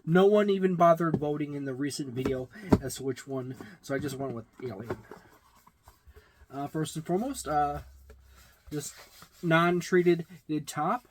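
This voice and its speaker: A middle-aged man giving a casual, halting, matter-of-fact unboxing and review, recorded in a small room. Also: fairly high pitch, slight background noise. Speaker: tabletop game reviewer. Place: small indoor room with packed shelves.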